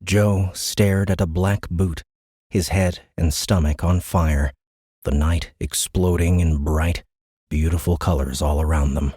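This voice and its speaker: A man narrating a science fiction audiobook in a measured, suspenseful storytelling manner, close to a studio microphone. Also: deep voice, steady tone, mellow, breathy edge, dry recording.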